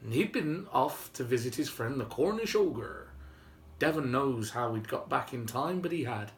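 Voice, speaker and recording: A man telling a story informally and whimsically, with dramatic pauses and emphasis. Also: mid-range voice, animated. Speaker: storyteller and video host. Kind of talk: informal improvised oral storytelling. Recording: roomy, faint background noise.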